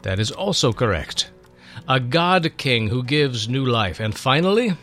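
Man playing a wise, fatherly old seer, animated pitch. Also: faint music behind. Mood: gently instructive. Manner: measured, fatherly, instructive. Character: wise old male seer, kindly patient mentor. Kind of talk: dramatic storybook reading.

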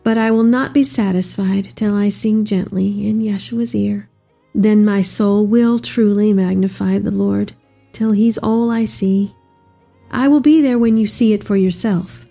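Casting: actress for an aged mother of the Messiah in audio drama, soft dark tone with a husky grain, tender, reflective monologue. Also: medium pitch, fairly even intonation, unhurried, faint music behind. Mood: peaceful, loving. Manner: reflective, reverent storytelling. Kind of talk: dramatic monologue reading of a letter.

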